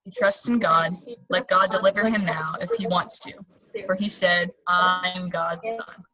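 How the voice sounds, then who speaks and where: A woman reads a scornful chief priest with a bright, clear voice. She delivers the taunts in a firm, emphatic way. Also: on the low side, steady tone, a little slow, no echo, dull audio, faint music behind, heavily acted. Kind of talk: church scripture reading in parts.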